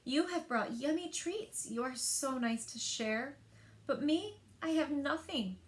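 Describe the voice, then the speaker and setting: Lady doing a sad sweet bear from a picture book, kinda high and slow. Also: lively intonation. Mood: wistful. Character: lonely gentle male bear, sad about having nothing.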